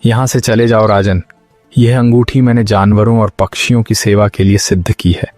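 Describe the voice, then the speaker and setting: A man voicing a stern but compassionate hermit sage in an animated moral story. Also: fairly low pitch, moderate pace, no room sound, close to an everyday voice. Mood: stern, wrathful. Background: music.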